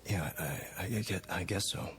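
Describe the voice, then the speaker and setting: A man's voice: low-pitched, normal speed, a bit bright. An actor playing a proud, defensive working man, earnest and curt, in a vintage TV drama. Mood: guarded. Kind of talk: 1960s television drama acting. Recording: reverberant vintage TV soundtrack.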